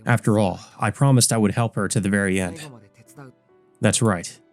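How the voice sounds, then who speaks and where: A man voicing an earnest, resolute teenage boy from a visual novel, measured and determined. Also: on the low side, fairly even intonation, no room sound, faint music behind, natural voice. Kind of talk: visual novel character reading.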